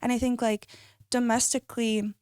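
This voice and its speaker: A woman's voice: on the high side, level tone, moderate pace, darkish tone, clear, resonant tone, dry recording. Reflective young woman, dry close sound. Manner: thoughtful, searching for words with many fillers. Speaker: guest, immigrant rights advocate. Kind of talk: reflective conversational interview answers.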